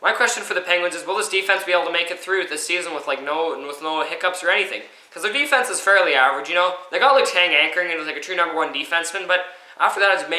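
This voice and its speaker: A man's voice: a little high, sharp tone. Rambling teen boy, echoey room. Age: teens. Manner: rambling, joking, many fillers. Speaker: co-host. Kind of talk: casual chat. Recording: echoey room, far from mic.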